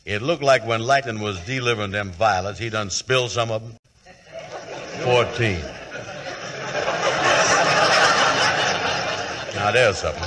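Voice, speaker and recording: A male actor plays a boastful, slow-witted working man in love, in a 1940s radio comedy. The voice sits low and drawls in a broad, folksy comic dialect, full of self-satisfaction and bashful longing. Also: very noisy, lightly acted. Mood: flustered, smitten.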